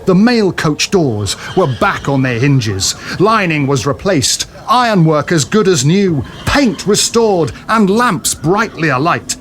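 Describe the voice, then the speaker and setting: Guy narrating an audio drama story, very animated, slightly high pitched, faint noise in the background. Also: slow, few pauses.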